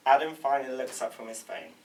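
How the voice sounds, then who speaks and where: A man's voice: medium pitch, lively intonation, fast. Man reading stage directions, off mic. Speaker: stage directions reader at a table read. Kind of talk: reading screenplay narration aloud. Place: indoor room, group table read. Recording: slightly noisy room, distant mic.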